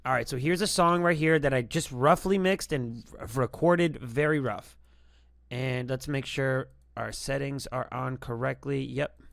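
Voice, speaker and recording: A man's voice: mid-range voice, a little slow, gravelly, a bit bright. A man hosting a music production tutorial, explaining things in an informal, rambling, conversational way. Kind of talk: casual tutorial talk.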